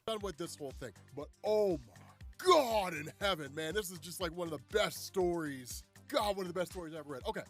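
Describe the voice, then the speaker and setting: Guy w a stuffy nose, high voice, super hyped recapping, repeats stuff for emphasis. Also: lively intonation, a little fast, soft background music. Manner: gushing, emphatic, repeats words. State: congested, nasal from a cold. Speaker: comics video host. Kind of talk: excited storytelling recap.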